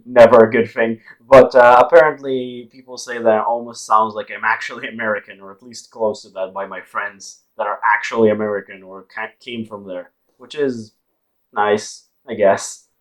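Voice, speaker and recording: A man's voice: fairly low pitch, fairly even intonation, normal speed, rough recording. A man from Israel with an Israeli accent, hosting a casual online chat and joking loosely, with many fillers and restarts. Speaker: podcast host.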